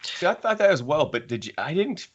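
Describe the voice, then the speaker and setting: A man hosting a casual book discussion, halting as he repeats and rephrases himself. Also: a little high, sing-song, dull audio. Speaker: podcast host.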